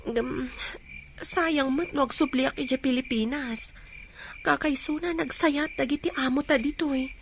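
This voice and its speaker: A woman acting a gentle working mother in a Filipino radio drama, with a Filipino accent. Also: high-pitched, fairly even intonation, a little slow. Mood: hesitant. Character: gentle working mother abroad named Korason, grieving sympathetically. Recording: faint background noise.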